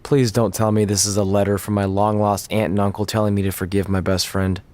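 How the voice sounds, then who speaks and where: A man's voice: fairly low pitch, flat delivery, a little fast, a little mellow, dry recording, close to an everyday voice. A man playing a wry, loyal pro wrestler with a dry, teasing manner in a game cutscene. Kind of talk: video game cutscene acting.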